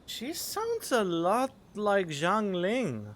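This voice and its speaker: A man's voice: sits high, huge pitch swings, very slow, fairly bright tone. A man giving casual, offhand game commentary as a streamer, musing as he plays. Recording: game music behind.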